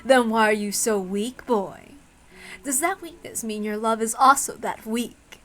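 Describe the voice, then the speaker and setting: A woman's voice: fairly high pitch, unhurried, a bit bright. A woman voicing a cruel, condescending demon examiner in a story reading, taunting with mocking, coaxing questions. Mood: sneering, taunting.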